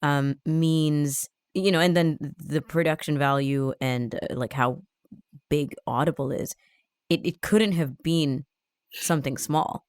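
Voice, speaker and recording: A woman with a very low voice co-hosts a review podcast. She chats casually, and the recording is dry with little room sound. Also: steady tone, a little slow, darkish tone, smooth, dry recording. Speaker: podcast review show co-host. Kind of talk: casual chat.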